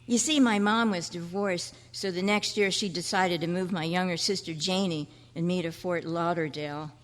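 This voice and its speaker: A woman's voice: on the low side, steady tone, moderate pace, a little hiss or hum, close to an everyday voice. An earnest young boy acted by a woman reminisces in chatty kid slang, in the manner of an old radio play. The voice rings through a large hall with long reverb. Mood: wistful. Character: earnest, nostalgic fourth-grade boy. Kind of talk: old-time radio style stage acting. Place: large indoor room at a convention. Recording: echoey hall at a club meeting.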